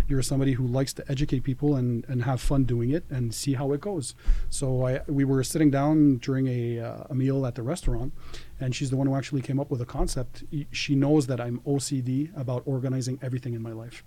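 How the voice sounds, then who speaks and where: A man's voice: mid-range voice, mellow, low-quality recording. A man, a guest telling his story in casual podcast chat, earnest, with frequent fillers and restarts. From Canada. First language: French. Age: 30s. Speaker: guest, detailing YouTuber.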